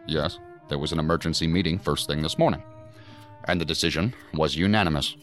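An actor playing a measured senior federal agency director in a sci-fi audio drama, formal and deliberate in his speech. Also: a bass voice, soft background music. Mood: commanding, businesslike.

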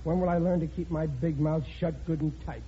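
A man's voice: fairly high pitch, steady tone, a little fast, warm tone, close to an everyday voice. An actor playing a blundering, good-hearted working-class father in an old radio comedy, stammering in folksy grammar. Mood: exasperated. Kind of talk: 1940s radio comedy acting. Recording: muffled, noisy radio broadcast with studio audience.

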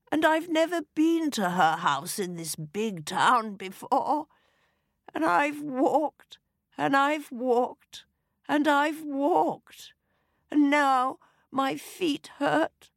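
A woman voicing a frail, tired little old lady in a children's story, speaking slowly in a quavering, plaintive way. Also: sits high, vocal fry, fairly bright tone, no room sound, clean studio sound, pitched above the performer's own voice, exaggerated voice.

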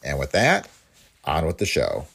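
A man's voice: a bass voice, wildly swooping pitch, measured pace, vocal fry, fairly bright tone, slightly rough. A man hosting a podcast, reading a scripted introduction. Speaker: podcast host.

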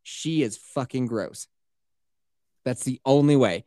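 A man's voice: mid-range voice, takes it slowly, no room sound, studio quality. A man hosting an advice podcast, giving blunt, crude opinions in casual chat. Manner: blunt, crude, animated storytelling. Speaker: podcast host.

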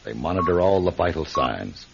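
An actor playing a caring, reasonable male doctor in a radio drama, speaking in a gentle, reassuring way. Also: rumbling low, big pitch swings, normal speed, full character voice. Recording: dull lo-fi radio recording, clear noise.

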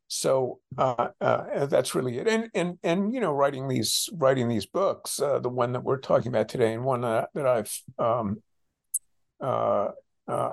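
An older American man, a scholar being interviewed, speaks reflectively and haltingly, often circling back over his phrases. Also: mid-range voice, vocal fry. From United States. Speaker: interviewed author, scholar and former policy official.

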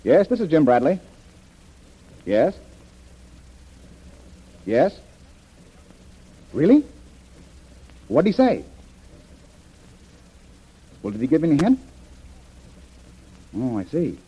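An actor playing a confident, decisive adventure hero in an old-time radio drama, earnest and brisk. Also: male voice, on the high side, wildly swooping pitch, breathy edge, exaggerated voice. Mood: urgent, alert. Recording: dull, band-limited vintage radio recording.

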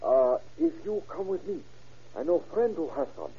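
An actor playing an evasive, ingratiating drug dealer with halting broken English and a husky voice in an old radio drama. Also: male voice, a little high, wildly swooping pitch, warm tone, heavily acted. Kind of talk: 1940s radio acting. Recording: muffled old radio recording.

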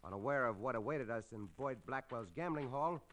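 An actor playing a noble, suave gunfighter hero in an old radio western. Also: male voice, medium pitch, expressive, brisk, fairly bright tone, lightly acted. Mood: dramatic narration. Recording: noisy vintage radio recording.

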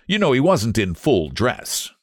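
A man voicing a jovial gentleman who teases his friend in a hearty, amused way in a storybook reading. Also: mid-range voice, wildly swooping pitch, measured pace, dry recording, studio quality. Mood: teasing. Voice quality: hearty, teasing. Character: jovial painter friend, teasing young man.

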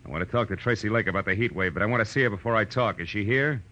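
Hard-boiled American gumshoe: sardonic, brash, low and very punchy, 1940s radio with faint hiss. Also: male voice, sharp tone, muffled recording. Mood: brash bluffing. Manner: brash, sardonic, fast-bluffing. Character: hard-boiled, wisecracking male private eye. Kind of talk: 1940s radio detective acting and narration. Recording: hissy old radio recording.

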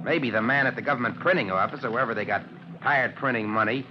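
A man's voice: mid-range voice, fast talker, close to an everyday voice. An actor playing a tough, wary American fireman with slangy working-class talk in an old radio drama. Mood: wary, firm. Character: tough, wary ex-carnival fireman, gruff older workmate. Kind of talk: 1940s-50s radio drama acting. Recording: muffled, noisy old radio recording.

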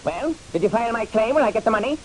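A man's voice: high voice, expressive, rapid-fire, low-quality recording. An actor playing a small, nervous, pushy man greedy for an inheritance, clipped and impatient, in an old-time radio detective drama. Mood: indignant. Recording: hissy old radio recording.

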